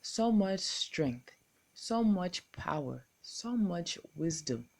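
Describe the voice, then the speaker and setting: A young American woman reciting a poem and making a slow, deliberate appeal as a contest entrant. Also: low-pitched, a little mellow. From United States. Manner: slow, deliberate, measured phrasing. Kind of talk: recorded poetry recital and appeal.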